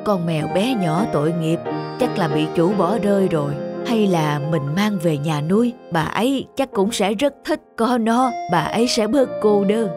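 A woman voicing a kind, lonely old man in an animated drama, tender and sympathetic. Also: mid-range voice, normal speed, music in the background, natural voice. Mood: tender pity.

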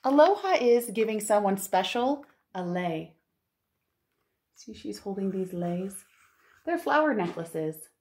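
A woman in her thirties hosting a storytime for young children, reading aloud and chatting in an expressive, exclamatory way. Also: medium pitch, takes it slowly, slight background noise. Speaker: children's librarian and storytime host. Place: living room at home.

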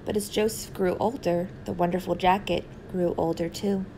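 A woman's voice: fairly low pitch, fairly even intonation, normal speed, mellow, a little hiss or hum, rough recording. A woman reading a picture book aloud as a storytime reader for children.

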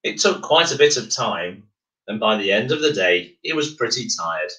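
A man narrating a children's storybook in a measured, expressive way. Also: on the low side. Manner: measured, expressive, clearly phrased. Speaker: bedtime story reader. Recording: roomy, echoing room.

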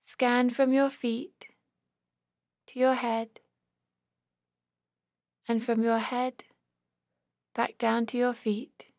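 A woman guides a body scan meditation in a calm, measured way. Her voice sits a little high and stays very level, with little rise or fall. She gives short instructions in a close, very dry recording. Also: no echo.

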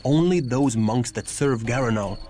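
An actor playing a grave, stern medieval vampire warrior and mentor, speaking in solemn archaic phrases for a video game. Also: male voice, medium pitch, moderate pace, warm tone, soft background music, natural voice. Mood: solemn counsel. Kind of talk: theatrical video game acting.

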